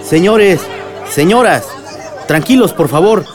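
A man acting a composed, authoritative municipal president making a formal, measured address in a radio drama. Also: high-pitched, lively intonation, music in the background. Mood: formal, calming. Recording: crowd noise around the speaker.

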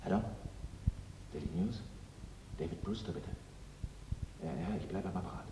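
A businesslike radio-play newsman on a call, deep and matter-of-fact. He sounds away from the mic in a roomy space, with clear noise. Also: moderate pace. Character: businesslike male newsman phoning in an exclusive. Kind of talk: German radio drama acting. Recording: noisy, echoey radio recording, distant mic.

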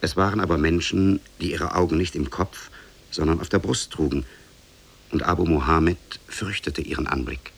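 Deep, husky male storyteller. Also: normal speed. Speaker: narrator. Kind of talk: scripted fairy-tale narration, 1950s German radio.

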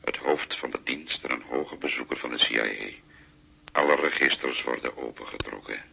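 An actor playing a terse, secretive informant with a gravelly, husky voice in a radio play. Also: male voice, extremely low pitch, normal speed, sharp tone, heavily acted, low-quality recording. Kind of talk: Dutch radio play acting.

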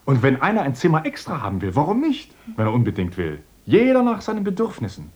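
An actor playing an earnest, idealistic young village teacher in a radio play who lectures eagerly and swings between gentle talk and rapturous visions. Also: male voice, mid-range voice, wildly swooping pitch, fast talker, husky. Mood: earnest, dreamy.

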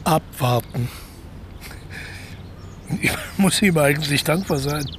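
An actor in a naturalistic radio play playing a sly, embittered male ex-convict, speaking deliberately and often dropping close to a whisper. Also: fairly high pitch, noisy background. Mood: dismissive, resentful. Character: scheming, embittered ex-convict man plotting a lucrative burglary.

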